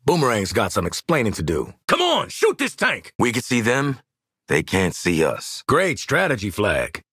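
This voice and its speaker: An actor playing a cocky, wisecracking American sniper mercenary in a video game, streetwise and sardonic, with punchy delivery. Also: male voice, medium pitch, huge pitch swings, normal speed, fairly bright tone, slightly rough, no room sound, clean studio sound, exaggerated voice. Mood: brash, urgent, then focused. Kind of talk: video game combat voice lines.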